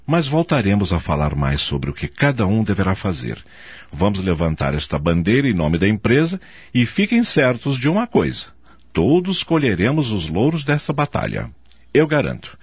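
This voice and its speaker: Radio drama boss, male actor: very low, husky, formal and commanding, with animated swings in pitch. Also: moderate pace, no echo, studio quality, full character voice. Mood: rousing. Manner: formal, speechifying. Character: self-assured, commanding male company director. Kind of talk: radio soap opera acting.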